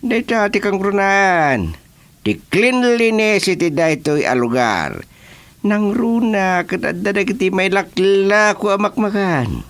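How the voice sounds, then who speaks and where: Actor as a slow-talking, swooping bossy village chairman. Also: high voice, very animated, fairly bright tone, exaggerated voice. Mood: stern, official. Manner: lecturing, mixes English into his speech. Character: bossy, teasing male village council chairman. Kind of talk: rural radio comedy drama acting.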